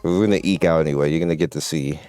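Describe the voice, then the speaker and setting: Low-voiced man casually commentating a mobile game. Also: fairly even intonation, racing, darkish tone, clean tone. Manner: blunt, opinionated. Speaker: gaming channel host. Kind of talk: casual off-the-cuff gameplay commentary. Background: game music.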